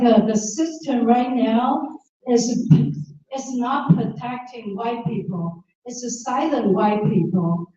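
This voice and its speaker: Woman with a Taiwanese accent, halting English, slow, mellow, distant in an echoey hall. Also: on the high side, dull audio. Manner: halting, broken English, emphatic. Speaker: audience member asking a question. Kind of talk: public forum question.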